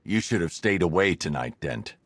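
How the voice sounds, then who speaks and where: An actor playing a grim, stoic masked vigilante in a video game, speaking in a terse, stern deadpan. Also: male voice, low-pitched, normal speed, dry recording. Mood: cold, resolute. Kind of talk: video game hero dialogue.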